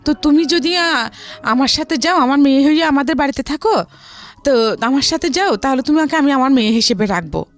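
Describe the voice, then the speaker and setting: A woman with a Bangladeshi accent tells a personal story in a conversational way, as an interview guest. Her voice is high, and soft music plays behind her. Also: expressive, a little fast.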